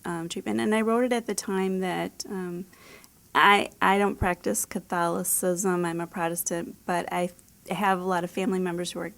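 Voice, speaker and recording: A woman's voice: on the low side, little pitch movement, moderate pace, gravelly, fairly bright tone. A woman author talking as a guest in a casual interview, explaining things with the odd laugh in her speech.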